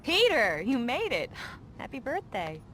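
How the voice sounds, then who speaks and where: A woman's voice: on the high side, wildly swooping pitch, a little slow, fairly bright tone, slight background noise, heavily acted. A woman playing a bubbly, teasing young girl next door in a game cutscene. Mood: bright, teasing. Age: young adult. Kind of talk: scripted game cutscene dialogue.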